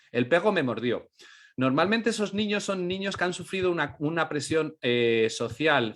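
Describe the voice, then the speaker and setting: A man's voice: mid-range voice, fairly bright tone. A man, a guest teacher answering audience questions in a patient, explanatory way, often restarting and checking that listeners follow.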